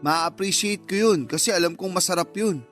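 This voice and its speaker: An actor playing a flirtatious, flattering suitor in a radio drama dialogue. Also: male voice, high voice, a little fast, faint music behind. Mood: playful, flirting. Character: flirtatious, flattering suitor chatting up a taken woman.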